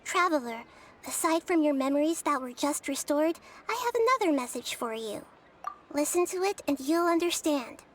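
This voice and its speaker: A woman playing a composed, kindly young female guide in an animated video game, explaining things in an orderly way. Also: high-pitched, moderate pace, slight background noise. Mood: earnest. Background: background music.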